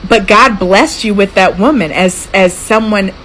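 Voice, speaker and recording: An American woman talking casually to camera as a content creator, with an expressive, emphatic delivery. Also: medium pitch, measured pace. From United States. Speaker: social media content creator. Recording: clearly noisy clip with room ambience.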